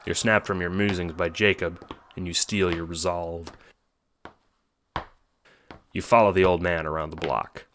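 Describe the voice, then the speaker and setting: A man's voice: low voice, level tone, a little slow, pauses often, a bit bright, slight background noise. A man narrating a scripted audio drama in the second person as its storyteller.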